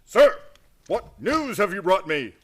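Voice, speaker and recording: A man's voice: high voice, very animated, takes it slowly, slightly rough, heavily acted. A man playing a gleeful eccentric who acts as a pompous, barking military commander in a radio play. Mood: commanding, delighted. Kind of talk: stage-style radio play acting.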